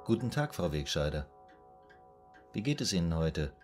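A patient, methodical psychoanalyst in a period radio drama. His man's voice is low-pitched and formal. He questions and sums up in a calm, measured way. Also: music in the background. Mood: measured, probing. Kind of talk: German radio drama acting.

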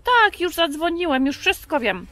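A woman's voice: very high voice, big pitch swings, moderate pace, a bit bright, no echo, soft background music, pitched above the performer's own voice, full character voice. A woman voicing a friendly, helpful male firefighter puppet, chatty and eager, in a children's show.

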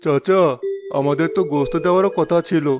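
A man's voice: on the high side, brisk, close to an everyday voice. A man voicing a poor village day labourer in a cartoon, humble and polite, with an earnest, deferential manner. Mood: hesitant, polite. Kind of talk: Bengali moral-story cartoon dubbing.

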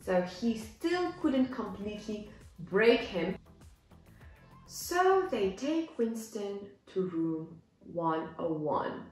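A young woman teaching English, reading a simplified story and explaining it with deliberate, demonstrative enunciation for learners. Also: medium pitch, big pitch swings, a little mellow. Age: young adult. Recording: echoey room, music behind.

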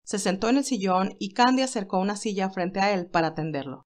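A woman's voice: mid-range voice, animated, a little fast. A woman reading a scripted romantic story as its narrator, in a dramatic storytelling style.

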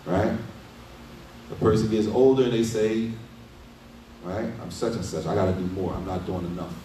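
A middle-aged man talks through a religious lesson in a relaxed, conversational way, dropping rhetorical questions as he goes. His voice is low and mellow. The sound is echoey and distant, as if picked up by a desk mic set back in a small room. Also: normal speed, slight background noise. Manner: conversational, asks rhetorical questions with frequent "right?". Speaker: imam giving a lesson. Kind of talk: casual Islamic lecture. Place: small indoor study room. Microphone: desk mic on a stand.

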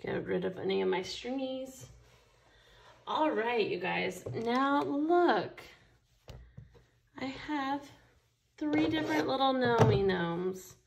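An enthusiastic woman walks through a craft project at her table, her voice a touch higher than most and roughened with a gravelly fry. She chats casually and warmly about each step as she works. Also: animated, slow, long pauses, slight background noise. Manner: chatty and enthusiastic, talks while working with her hands. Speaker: craft store instructor. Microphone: overhead camera, only her hands seen.

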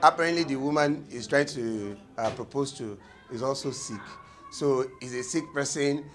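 A man in his thirties with a Nigerian accent, explaining in an interview and pausing to search for words. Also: mid-range voice, a bit bright. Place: indoor room.

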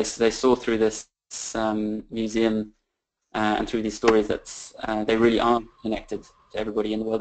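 A man with a lowish, flat voice speaks earnestly in an online webinar. He stops and restarts often as he gathers his thoughts. He talks as a tour manager over a video call. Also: low-quality recording. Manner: earnest, halting, many fillers and restarts. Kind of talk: webinar talk about travel.

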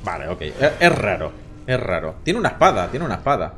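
A man's voice: fairly low pitch, very animated, brisk, background noise. A man in his thirties from Barcelona with a Spanish accent, chatting casually and swearing while streaming a game from a room at home. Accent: Castilian Spanish from Barcelona. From Catalonia, Spain. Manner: chatty, swearing, joking with chat. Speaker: gaming streamer. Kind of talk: casual live gameplay commentary.

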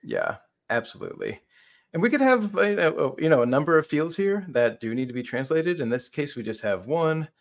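A man's voice: fairly high pitch, sing-song, moderate pace. A man in his thirties explaining a product on a video call as a conversational co-presenter. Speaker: software demo co-presenter.

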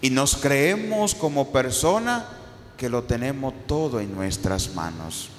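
Man, priest, sermon at pulpit, stand mic, very slow delivery, echoing church. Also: medium pitch, animated. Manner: slow, rising and falling preaching cadence with repeated phrases. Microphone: handheld mic on a stand. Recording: reverberant church with faint background noise.

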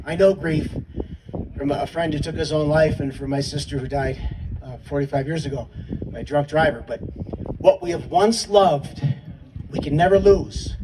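A man's voice: a little high, moderate pace. A middle-aged man telling a casual, wry story with swearing as a memorial speaker on an outdoor stage at night. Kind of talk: anecdotal storytelling speech. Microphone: handheld mic, filmed from the audience. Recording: distant phone capture with background noise.

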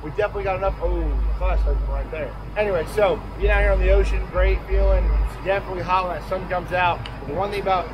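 A man's voice: high-pitched, fairly even intonation, fast, hardly any pauses, lo-fi sound. A man in his thirties on a boat deck, talking casually and folksily to a close camera about a fishing trip. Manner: laid-back, rambling, folksy. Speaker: fishing vlogger. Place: open deck of a boat at sea, outdoors. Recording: wind and engine noise outdoors.

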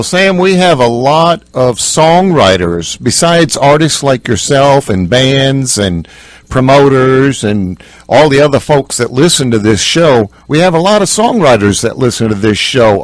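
A man hosting a radio interview, asking measured, scripted questions. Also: medium pitch, dry recording. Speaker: radio DJ host.

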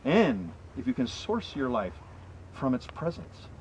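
A middle-aged man reciting poetry to a small group outdoors, with earnest, deliberate and emphatic phrasing. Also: mid-range voice, very animated. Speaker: poem reader, presenter. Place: outdoors in a wooded area. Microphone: camera mic a few meters away. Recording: slightly muffled outdoor camera audio, faint ambient noise.

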